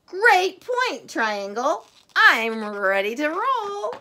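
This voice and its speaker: A woman voicing a cheerful, proud round circle in a children's storybook, bubbly and drawn-out. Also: sits high, big pitch swings, sharp tone, voice pushed up, heavily acted.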